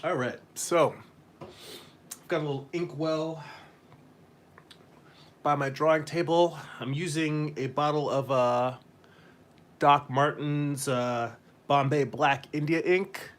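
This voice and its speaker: A man's voice: fairly high pitch, fairly even intonation, takes it slowly, long pauses. A man who works as a comic artist, chatting casually and a bit ramblingly as he hosts a live stream. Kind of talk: casual live-stream chat while demonstrating.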